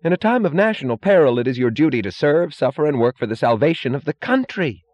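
Man putting on a fervent, high-pitched righteous bureaucrat. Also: very animated, a little fast, darkish tone, muffled recording. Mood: righteous, urgent. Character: righteous, avid male bureaucrat preaching sacrifice and duty.

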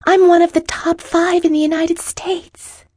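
An actress playing a poised, skeptical film star with a pert Cockney accent in dramatized audiobook dialogue. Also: female voice, medium pitch, lively intonation, moderate pace, darkish tone, dry recording, studio quality, lightly acted. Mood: unsteady, defiant. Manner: pert, challenging.

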